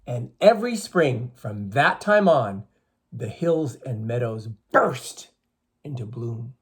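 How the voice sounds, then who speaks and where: Animated, husky male storyteller for children. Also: medium pitch, takes it slowly. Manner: teacherly, emphatic, addresses listeners with questions. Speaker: storyteller reading to schoolchildren. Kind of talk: expressive children's story read-aloud.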